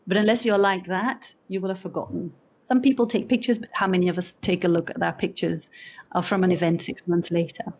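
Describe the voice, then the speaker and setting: A woman's voice: fairly low pitch, a little fast, highs cut off. A woman from Belgium, not a native English speaker, giving a conversational talk as an event host, acting out little quotes and restarting her sentences. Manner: animated, acts out quotes with restarts. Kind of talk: conversational presentation.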